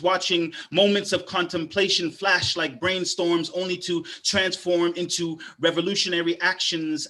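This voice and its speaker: A man performs spoken word poetry with a rhythmic, rising delivery. His voice sits a little high for a man and rings bright and forceful. He is a poet and arts educator. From Canada, raised partly in Guyana.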